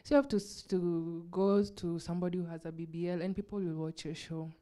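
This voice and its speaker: A young Kenyan woman speaks in a relaxed interview with a Kenyan accent. Her voice is low-set, mellow and smooth, and her talk is frank, full of "like" and easy laughter. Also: brisk. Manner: laughs often, frank, uses "like" a lot. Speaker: actress guest. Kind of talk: casual interview chat.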